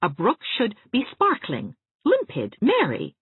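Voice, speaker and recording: A woman's voice: fairly high pitch, a little slow, breathy edge, no echo, voice pushed up, heavily acted. Woman voicing an eager, bubbly young woman. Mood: enthusiastic. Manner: bright, lively, persuasive. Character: cheerful, eager young woman, warm and coaxing. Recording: thin, phone-like narrow sound.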